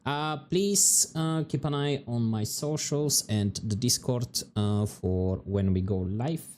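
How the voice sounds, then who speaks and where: A man streaming a game, chatting casually and musing aloud, his voice turning hoarse and scratchy. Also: medium pitch. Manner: relaxed, musing aloud, drawn-out words. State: voice going hoarse, scratchy throat. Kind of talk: casual livestream chat while gaming.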